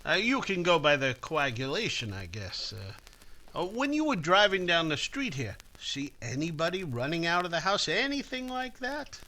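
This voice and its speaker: A man's voice: fairly high pitch, big pitch swings, moderate pace, pushed and bright. An actor playing a hesitant, folksy small-town police chief who stammers through his questions in old-time radio style. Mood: hesitant, probing.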